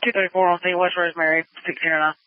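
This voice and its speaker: Fast, flat male dispatcher. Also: high-pitched, no echo. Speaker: emergency radio dispatcher. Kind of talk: clipped dispatch readbacks. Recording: muffled lo-fi radio dispatch channel.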